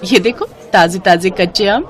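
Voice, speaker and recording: A woman's voice: medium pitch, moderate pace, close to an everyday voice. A woman voicing a kind, hardworking woman vegetable seller in a moral story, respectful and eager to help. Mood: respectful, helpful. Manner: respectful, reassuring, helpful. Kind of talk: Hindi moral story character dialogue.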